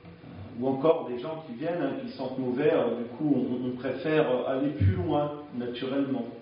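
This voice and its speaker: A man's voice: mid-range voice, steady tone, normal speed. A man preaching a sermon in a frank, conversational way, often hesitating as he speaks, recorded in a church. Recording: echoey church, distant dull mic.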